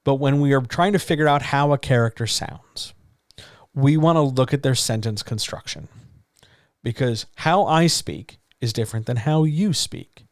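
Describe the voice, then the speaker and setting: A man with a deeper voice coaching writers during a live stream. He talks loosely and casually, leaning on so, like and um as he explains. His voice sits at an ordinary pitch and sounds dry and close to the mic. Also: moderate pace, no echo. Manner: loose, conversational, full of fillers like so, like and um. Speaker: writing coach.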